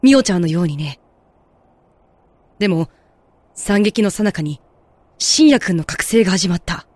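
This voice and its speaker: An actor in a Japanese audio drama playing a composed figure who explains things in a measured way and gently guides others. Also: medium pitch, animated, dry recording. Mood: grave, explanatory.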